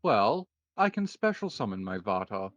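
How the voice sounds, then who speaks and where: A man improvising an anime card-duel roleplay, calling out his moves in a deliberate, mock-formal way. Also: fairly low pitch, very animated, fairly bright tone, no room sound, highs cut off.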